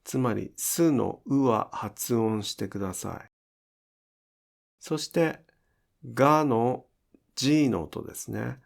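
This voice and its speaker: A man teaching pronunciation in an instructional style, enunciating deliberately and carefully for language learners. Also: mid-range voice, creaky. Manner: deliberate, careful enunciation with demonstrated example words.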